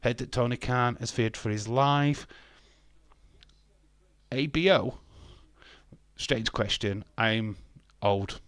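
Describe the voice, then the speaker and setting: A man's voice: mid-range voice, takes it slowly, long pauses, no room sound. Blunt man in his fifties, sarcastic. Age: 50s. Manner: blunt, swears freely, answers chat with sarcasm. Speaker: live stream host. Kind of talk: casual chat reading live comments.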